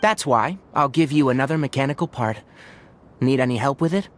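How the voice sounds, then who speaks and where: A man's voice: medium pitch, animated, brisk, a little hiss or hum, natural voice. A man voicing a young forest healer in a video game, clinical, matter-of-fact and dryly kind, with thoughtful hums. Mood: wry, fond.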